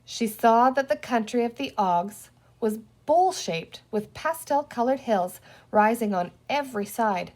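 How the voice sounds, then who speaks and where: A woman's voice: mid-range voice, darkish tone. A woman reading a children's storybook aloud as narrator. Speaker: reader, teacher reading aloud.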